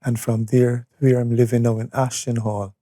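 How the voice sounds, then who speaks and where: A Barbadian man in his seventies reminiscing conversationally as an interview guest, unhurried and pausing as he tells his story. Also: medium pitch, level tone, warm tone, no room sound, studio quality. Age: 70s. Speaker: interview guest, retired or veteran educator. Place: studio.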